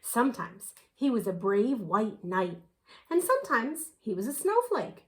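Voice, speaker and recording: A woman reads a picture book to children in a high, lively voice. She takes her time and gives words dramatic emphasis, storyteller style. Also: a little hiss or hum. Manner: animated, unhurried, dramatic emphasis. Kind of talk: expressive picture-book read-aloud.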